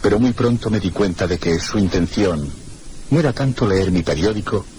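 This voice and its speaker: Low-voiced, melancholy Castilian storyteller. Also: male voice, expressive, darkish tone. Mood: melancholy, brooding. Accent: Castilian Spanish. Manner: measured, reflective, literary, courteous. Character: melancholy, reserved one-armed male guest narrating his tale. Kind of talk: Spanish radio mystery drama, first-person narration. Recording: noisy old radio drama recording.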